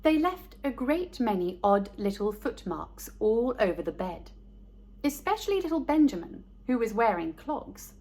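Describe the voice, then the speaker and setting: A woman reading a children's story aloud as host, speaking directly to young listeners in a deliberate way. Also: on the high side, sing-song, darkish tone.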